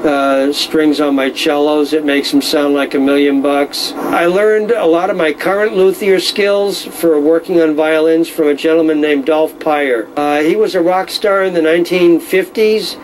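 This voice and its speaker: A middle-aged man who deals in violins, speaking in a measured, knowledgeable way with frequent fillers. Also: a little high, steady tone, a little hiss or hum, low-quality recording. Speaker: violin dealer. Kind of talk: casual explanatory talk.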